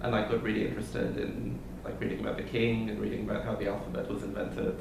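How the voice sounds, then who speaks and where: A man, a Hebrew speaker and PhD student, answering interview questions hesitantly with many pauses and ums. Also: fairly low pitch, completely flat delivery, vocal fry. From Israel. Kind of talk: casual interview. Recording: echoey room, distant mic, noisy.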